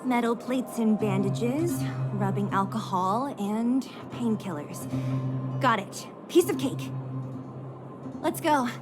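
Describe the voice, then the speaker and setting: A woman's voice: on the high side, lively intonation, slow, pauses often. A woman voicing a tough, blunt, cocky young female fighter in a video game. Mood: brisk, dismissive. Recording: game music behind the voice. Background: music.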